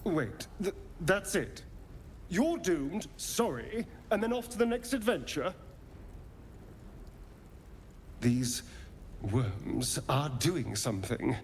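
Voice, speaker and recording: A man's voice: a little high, very animated, slow pace, slight background noise, heavily acted. A male actor voicing a flirtatious, sardonic, menacing rogue in a video game, with a theatrical, arch drawl. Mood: agitated, bitter. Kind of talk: video game character acting.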